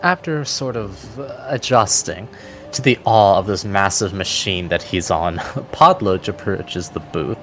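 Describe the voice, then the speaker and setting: A man's voice: fairly low pitch, a little slow, fairly bright tone, slight background noise. A man acting as game master, narrating scenes descriptively for a tabletop game. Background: background music.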